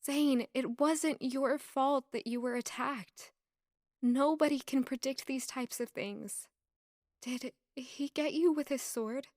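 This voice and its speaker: A woman plays an earnest peasant heroine in an audio drama. Her voice is high and her manner gentle and tentative, recorded close and very dry. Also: steady tone, slow pace, no room sound. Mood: concerned, reassuring. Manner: soft-hearted, tentative, questioning.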